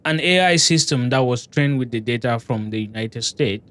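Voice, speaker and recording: A man's voice: medium pitch, moderate pace, a bit bright. A man in a casual discussion, talking with hesitation and lots of restarts. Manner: hesitant, many fillers and restarts.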